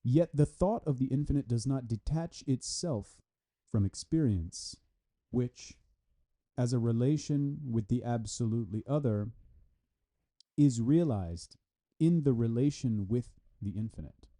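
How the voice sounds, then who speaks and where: A man reading a scholarly text aloud with deliberate, halting phrasing. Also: mid-range voice, lively intonation, warm tone. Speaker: reader of a philosophy article. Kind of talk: read-aloud scholarly text with brief explanatory asides.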